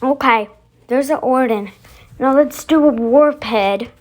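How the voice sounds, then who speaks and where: A woman with a high voice chats casually as a video host. She speaks slowly and haltingly, thinking out loud as she introduces each step. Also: faint music behind. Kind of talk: casual chat to camera, demonstrating voice effects.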